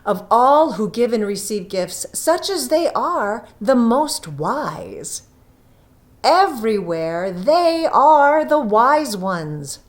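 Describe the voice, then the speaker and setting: A woman narrates a story at an unhurried pace. Her intonation is lively and wide-ranging, with deliberate, dramatic phrasing. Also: fairly high pitch. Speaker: story reader. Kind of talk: expressive scripted storytelling.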